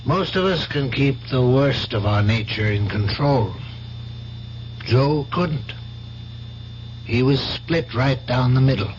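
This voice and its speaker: A man's voice: mid-range voice, expressive, takes it slowly, pauses often. An actor playing a grave, philosophical man consoling a friend in a radio drama. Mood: grave, reflective. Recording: dull old radio recording, faint hum.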